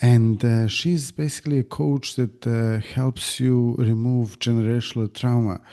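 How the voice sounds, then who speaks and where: Male podcast host, very dark mellow tone, hesitant and enthusiastic, video call. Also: mid-range voice, steady tone, a little slow. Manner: hesitant, many fillers and restarts, enthusiastic. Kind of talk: interview host chat.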